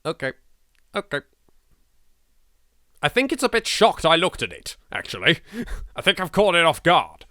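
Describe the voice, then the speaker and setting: A man's voice: a little high, huge pitch swings, normal speed, long pauses, pushed and bright, no room sound, studio quality. A man with an English accent hosting a let's play, reading aloud dramatically and commenting in a theatrical, exasperated, sweary way. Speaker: gaming video host.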